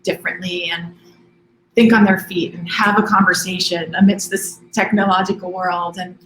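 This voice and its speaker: A woman's voice: on the low side, normal speed, slight background noise. Woman from Hawaii in an echoey room. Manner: relaxed, many ums and restarts. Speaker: admissions director guest. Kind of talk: conversational interview.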